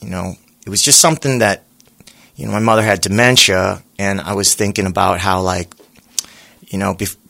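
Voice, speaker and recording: A singer being interviewed, talking in a relaxed, rambling way with lots of pauses and fillers. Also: male voice, deep voice, gravelly. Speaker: singer guest. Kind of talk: casual interview chat.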